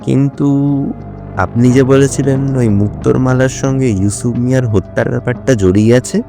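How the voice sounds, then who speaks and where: A man's voice: medium pitch, normal speed, creaky, over music, natural voice. A male actor playing a puzzled, curious police officer in an audio drama, speaking in a questioning manner. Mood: puzzled, probing. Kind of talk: Bengali audio drama dialogue.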